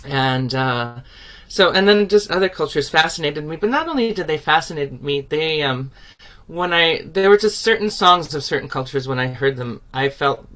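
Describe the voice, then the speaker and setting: A woman's voice: sits low, lively intonation, normal speed, fairly bright tone. A woman from the United States whose first language is Ukrainian, answering interview questions animatedly and laughing often. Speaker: guest, singer.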